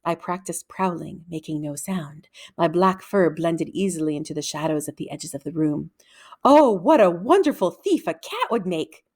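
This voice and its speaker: A woman reading a children's book aloud as an expressive, dramatic storyteller. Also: on the low side, moderate pace. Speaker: read-aloud reader.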